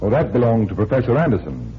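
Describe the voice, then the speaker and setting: An actor playing a genial, unflappable magician and amateur detective, easygoing and courteous, in 1940s radio style. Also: male voice, lowish voice, sing-song, quick pace, breathy edge, exaggerated voice. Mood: amiable. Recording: tinny, noisy 1940s radio recording.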